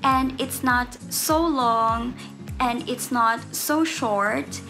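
A young woman from the Philippines giving her opinion on a show in a slow, careful way, recorded on a webcam. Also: fairly high pitch, over music.

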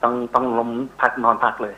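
Male researcher guest, middle-aged, bright forceful voice at medium pitch, explanatory, pausing for words. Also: little pitch movement, rough recording. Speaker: interview guest, university researcher. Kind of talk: explanatory interview answers. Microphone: telephone call.

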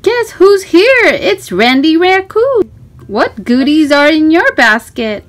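A woman storytime host reading a picture book aloud for children, with expressive, sing-song questions and exclamations. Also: high voice, takes it slowly.

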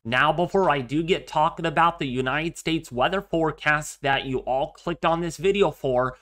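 A man's voice: on the high side, normal speed, a bit bright. A young man getting over a cold, sounding congested and a little nasal, earnestly giving a casual weather update from his home office. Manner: earnest, repeats himself, many fillers. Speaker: weather forecaster. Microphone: webcam framing at desk.

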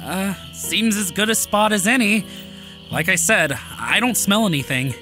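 A wistful young satyr, acted by a man, rambles earnestly in a bright, forceful voice, with music behind him in an audio drama. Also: high-pitched, expressive, a little slow, heavily acted, poor audio. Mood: wary.

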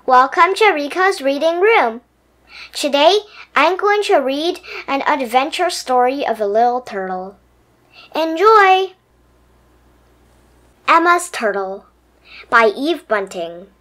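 A woman hosting a children's read-aloud, presenting a picture book in an expressive style. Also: high voice, takes it slowly, long pauses. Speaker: children's story reader and host.

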